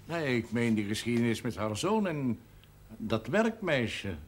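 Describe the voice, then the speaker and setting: A man's voice: mid-range voice, very animated, measured pace. A man acting in a television drama as a courteous, self-possessed parish priest who speaks formally. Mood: polite, composed. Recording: old TV soundtrack with faint noise.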